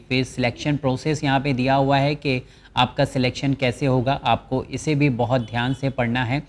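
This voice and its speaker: A man hosting a channel, walking viewers through a government job notice. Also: medium pitch. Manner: explanatory, reads English terms from the notice slowly. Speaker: channel host presenting job vacancies. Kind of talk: informational walkthrough of a job notice.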